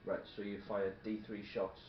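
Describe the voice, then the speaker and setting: Lowish-voiced man in a boomy, echoey room. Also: monotone, moderate pace. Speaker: tabletop wargame player coaching opponent. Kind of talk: casual game-table chat, explaining rules. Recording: muffled, echoey room with faint noise.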